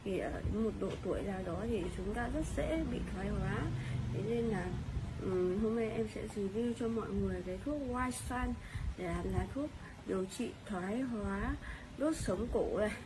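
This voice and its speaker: A woman chats through a product review, filling pauses with hesitation sounds. Her voice sits at a middle pitch. Clear noise runs behind her. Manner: chatty, fills pauses with hesitations.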